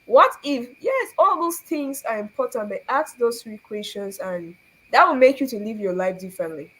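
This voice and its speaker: A woman's voice: a little high, expressive, moderate pace, fairly bright tone, husky, poor audio. A young Cameroonian woman hosting a faith talk, earnest and preachy, asking rhetorical questions and laughing often. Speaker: show host.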